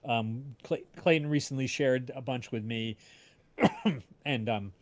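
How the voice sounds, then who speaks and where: A man's voice: mid-range voice, takes it slowly. A man hosting a casual livestream, talking in a rambling, hesitant way. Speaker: audio drama creator hosting livestream.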